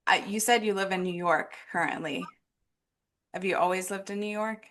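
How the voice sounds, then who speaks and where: A woman's voice: medium pitch, fairly even intonation, normal speed, fairly bright tone. A woman hosting a casual podcast interview, asking questions in a friendly, upbeat way with some fillers. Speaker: podcast host.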